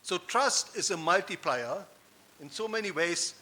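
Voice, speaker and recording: German-accented male keynote speaker, fifties, high bright voice, emphatic stage delivery. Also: lively intonation, a little slow. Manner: rhetorical, emphatic, with audience asides. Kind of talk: conference keynote speech. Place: conference hall stage.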